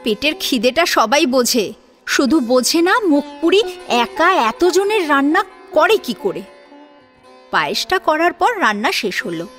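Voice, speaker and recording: A woman narrating a scripted audio drama serial in an expressive storytelling manner. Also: high voice, unhurried. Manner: expressive storytelling with rhetorical questions. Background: soft sitar and plucked string music.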